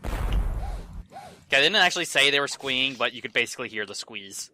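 A man's voice: mid-range voice, expressive, fairly quick, sharp tone. A man chatting casually as a gaming co-host, jumping in with joking remarks. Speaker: gamer co-host commenting while playing.

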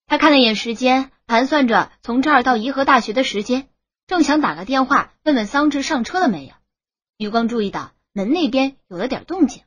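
A woman's voice: high voice, animated, brisk, a bit bright, slightly rough, no echo. A woman narrating a romance novel as a scripted audiobook.

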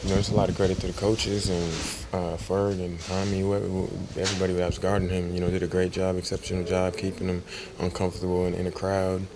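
A young man speaks after a basketball game in a locker room, very deep and nearly monotone, with a gravelly edge. He fills his answers with small fillers. Clear room noise sits behind him. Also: fast, few pauses. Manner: steady answers with many fillers. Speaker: basketball player.

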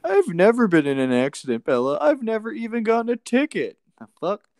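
Guy w a clear kinda high voice, casually reading a story out loud and bantering, dry sound. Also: big pitch swings, a little fast, dry recording. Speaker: co-host reading a book aloud. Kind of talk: casual read-aloud with banter.

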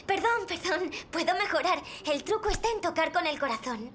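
A woman's voice: very high voice, lively intonation, moderate pace. A woman voicing a sweet, earnest young girl in a children's cartoon, with a childlike manner. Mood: hopeful, proud. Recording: constant loud background music.

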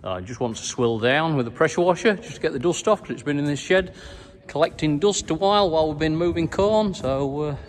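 A middle-aged man farmer giving a casual video diary, talking in a plain, unhurried way with many fillers, filmed on a phone held at arm's length. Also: a little high, long reverb tail, a little hiss or hum. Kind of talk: casual farm vlog. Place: farm sheds and yard, indoors and outdoors.

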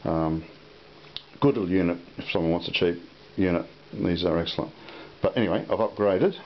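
A man with a deep, low voice talks through a product casually and without a script. He speaks haltingly and takes his time. The recording is muffled and lo-fi, with a clear hiss behind him. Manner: halting, unhurried. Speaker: product reviewer. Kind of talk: casual unscripted product demonstration. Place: indoor room at a table.

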